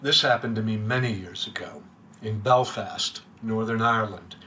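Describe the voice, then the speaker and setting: A man in his sixties giving a prepared, literary lecture to a room of listeners, measured, with his voice dropping quiet at the ends of lines. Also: on the low side. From United States. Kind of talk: reading a prepared reflective talk. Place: room with a live audience.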